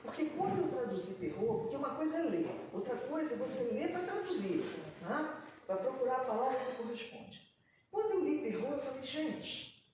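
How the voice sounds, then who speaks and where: A woman speaking on a literary panel, thinking aloud with frequent pauses and restarts, recorded from a distance in an echoey room. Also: fairly high pitch, a little mellow, a little hiss or hum. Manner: reflective, many pauses and restarts. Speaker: writer on a panel. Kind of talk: panel discussion talk.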